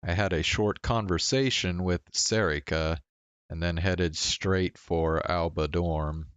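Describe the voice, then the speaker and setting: A man's voice: low-pitched, fairly even intonation, takes it slowly, a bit bright, smooth, no echo. A man reading visual novel narration aloud in a dramatic first-person storytelling style. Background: faint game music.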